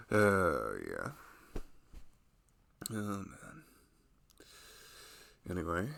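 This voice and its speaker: A big, loving husband played by a man speaks low and gravelly in an intimate ASMR roleplay. He is tender and playful, gently fussing over his pregnant wife. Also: slight background noise, heavily acted. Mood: playful, concerned.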